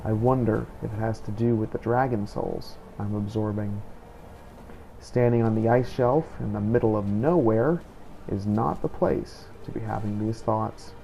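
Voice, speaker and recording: A man's voice: fairly low pitch, fairly even intonation, a little slow, gravelly, warm tone, slight background noise. A man narrating a gaming video in a scripted style, with roleplay monologue. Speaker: gaming video host, player.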